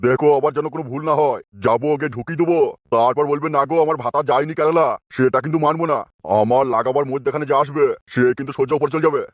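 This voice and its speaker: A man playing a bossy, lecherous village elder in a comic cartoon, with gruff, commanding colloquial speech. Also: a little high, rapid-fire, no echo, lightly acted. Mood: menacing. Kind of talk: exaggerated comic cartoon dialogue.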